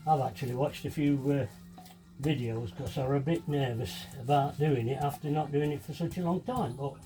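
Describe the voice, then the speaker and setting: A man's voice: fairly high pitch, warm tone, rough recording. A man giving a casual hands-on DIY tutorial, chatty and self-deprecating as he thinks aloud while working, slightly sniffly with a cold. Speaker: DIY video maker demonstrating fibreglassing.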